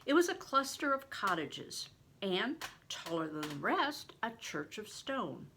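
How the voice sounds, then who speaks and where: An older woman reading a novel aloud in an expressive, deliberate storytelling manner, stressing words for drama. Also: medium pitch, background noise. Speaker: reader, retired reading teacher. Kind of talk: expressive audiobook-style read-aloud of a novel.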